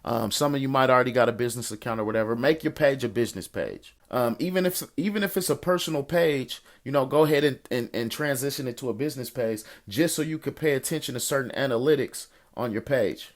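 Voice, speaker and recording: An American man with an average-pitched voice talks as a financial coach on a group call. He answers questions informally in street slang, encouraging listeners and repeating phrases to drive a point home. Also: fast, hardly any pauses. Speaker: host of a financial coaching call, investor and entrepreneur.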